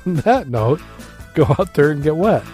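A man's voice: on the high side, big pitch swings, normal speed, over loud music. A man co-hosting a casual podcast, chuckling and reading a joke aloud. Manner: easygoing, chuckles, self-doubting. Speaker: podcast co-host.

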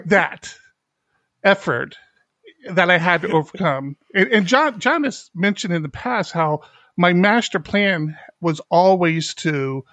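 A middle-aged man hosts a podcast, chatting candidly and haltingly, with a slight speech impediment and frequent restarts. His voice sits a little high, and the recording is dry and close, with no room sound. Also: animated, a bit bright, no echo. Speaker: podcast host. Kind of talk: casual chat.

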